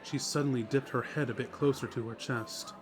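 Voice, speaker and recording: A man's voice: medium pitch, fairly even intonation, a little fast. A man reading scripted story narration as the narrator of a dramatic reading. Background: music.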